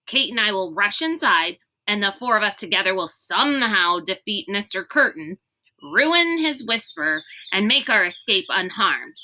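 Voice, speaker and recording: A sarcastic little girl from a storybook, read by a woman, bright and pointed in tone. She questions everything bluntly and with open exasperation. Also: mid-range voice, pitched above the performer's own voice, full character voice, low-quality recording.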